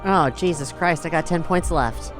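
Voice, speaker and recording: A man's voice: on the high side, normal speed, music in the background. A man playing in a tabletop game, laid-back and casual, swearing freely when things go badly. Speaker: player.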